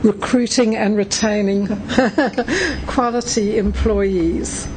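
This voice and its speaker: A woman with a gravelly edge to her voice introduces a conference speaker with eager enthusiasm and frequent hesitant ums. Her voice sits at a medium pitch, with a faint noise in the background. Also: slow, a little mellow, low-quality recording. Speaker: event host introducing a speaker. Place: conference room.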